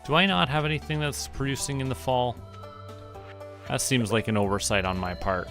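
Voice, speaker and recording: A man's voice: mid-range voice, moderate pace. A man giving casual livestream game commentary, musing to himself in short remarks. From Canada. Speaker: VTuber streamer. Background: background music.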